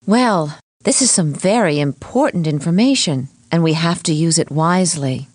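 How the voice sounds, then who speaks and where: A woman voicing a composed, serious defense lawyer in a cartoon comedy, with a measured manner. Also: deep voice, darkish tone, no room sound, clean studio sound. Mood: concerned. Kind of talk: animated comedy courtroom dialogue.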